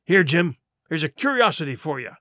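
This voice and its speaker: Guy doing a sly bossy pirate cook, blustering and sneering, swoops around, bright storybook voice. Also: on the high side, sing-song, brisk, no echo, studio quality, exaggerated voice. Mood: derisive. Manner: blustering, derisive, rustic seafaring speech. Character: one-legged pirate sea cook, sly, domineering ringleader. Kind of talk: audiobook character voice.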